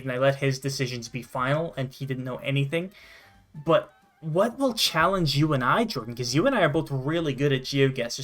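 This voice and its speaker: A man's voice: medium pitch, fairly bright tone. A man from Canada hosting a gaming show with casual, sweary gameplay commentary. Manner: swears freely.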